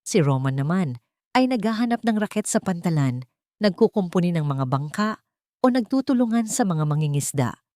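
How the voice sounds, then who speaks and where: Low-voiced woman narrating a dramatic story. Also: very animated, moderate pace, dark tone, no room sound, studio quality. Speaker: audiobook narrator.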